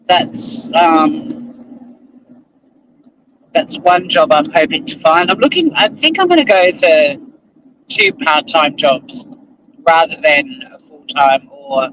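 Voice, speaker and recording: A man's voice: on the high side, lively intonation, takes it slowly, long pauses, fairly bright tone, a little hiss or hum, rough recording. A middle-aged Australian man vlogging casually from inside his car as he drives, rambling and self-deprecating, with casual swearing. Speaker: vlogger and lawyer. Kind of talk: casual chat to camera while driving. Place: inside a car while driving.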